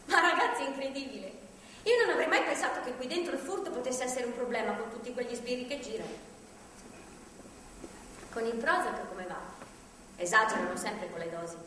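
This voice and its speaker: An actress on a theatre stage playing a chatty, nervously cheerful visitor who rambles with hesitant asides. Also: female voice, a little high, expressive, brisk, pauses often, fairly bright tone. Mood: chatty, wry. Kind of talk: live stage acting, monologue-like chatter. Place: theatre stage, picked up from far off. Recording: distant hall recording, echoey, faint noise.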